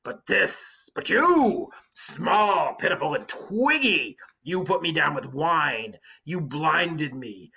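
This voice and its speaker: A man performs a wounded Cyclops in an epic read aloud. The giant is bitter and vengeful, declaiming slowly with a husky, rasping edge. His words are forceful and drawn out. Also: fairly high pitch, big pitch swings, full character voice, low-quality recording. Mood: bitter, pleading. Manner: slow, drawn-out, declaiming delivery. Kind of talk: dramatic storybook reading of epic verse.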